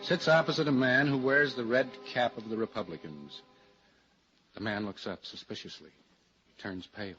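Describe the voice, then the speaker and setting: A man's voice: mid-range voice, normal speed, a bit bright. Male narrator for vintage radio drama, dramatic scripted storytelling, dull period recording with prominent music behind the voice.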